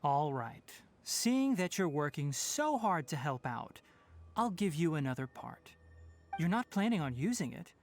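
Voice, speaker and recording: A man voicing a calm, principled young male forest ranger and scholar in a video game, speaking in a measured, thoughtful way. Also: a little high, soft background music.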